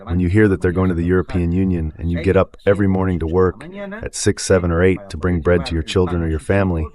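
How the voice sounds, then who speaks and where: A man's voice: low voice, little pitch movement, fast talker, crowd noise. A man giving emphatic, sarcastic political commentary as a guest analyst, in a translated voice-over.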